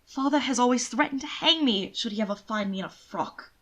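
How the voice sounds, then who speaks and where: A woman's voice: a little high, a little fast, a bit bright, pitched above the performer's own voice. A woman voicing a timid, put-upon eighteen-year-old male twin in an audio story, hesitant and beseeching. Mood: bewildered.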